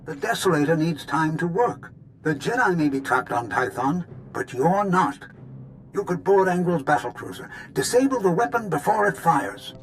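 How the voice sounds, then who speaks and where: An actor playing a precise, matter-of-fact scientist in a sci-fi video game. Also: male voice, a little high, sing-song, normal speed, slight background noise. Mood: urgent, encouraging. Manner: precise, analytical. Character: brainy, matter-of-fact male scientist doctor. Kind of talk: scripted video game dialogue.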